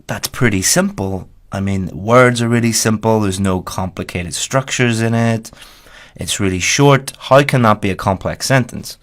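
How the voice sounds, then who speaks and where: A man talks a class through slides, direct and plain, circling back to repeat each key point. His voice sits fairly low. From Ireland. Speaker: teacher. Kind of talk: slideshow lesson voice-over.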